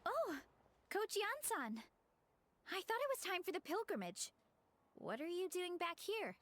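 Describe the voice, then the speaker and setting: A woman's voice: very high voice, sing-song, measured pace, full character voice. A woman voicing a sweet, sleepy, easygoing young farm girl in an anime game. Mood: surprised.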